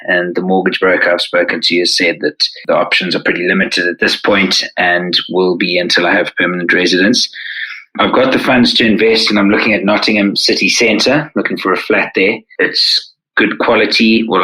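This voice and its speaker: South African man, deep but bright voice, polite measured voicemail caller. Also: rough recording. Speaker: listener caller. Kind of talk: recorded voicemail question.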